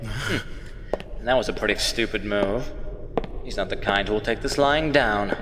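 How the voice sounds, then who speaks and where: Man acting, sinister mocking host, drawn-out theatrical taunts, radio drama, long reverb, noticeable noise. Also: fairly low pitch, close to an everyday voice. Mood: taunting, menacing. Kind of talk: amateur radio play acting. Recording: noisy, reverberant amateur recording.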